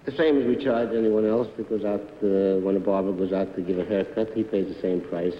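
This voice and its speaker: A man's voice: low-pitched, fairly even intonation, a little fast. A man answering questions briefly as a game show contestant. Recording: dull echoey 1950s TV recording, faint noise.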